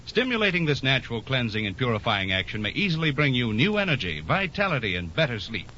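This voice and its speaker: A man's voice: mid-range voice, bright, forceful tone. A man reading a scripted 1940s radio commercial as an announcer, with an emphatic, polished sales delivery. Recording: muffled 1940s radio recording, faint noise.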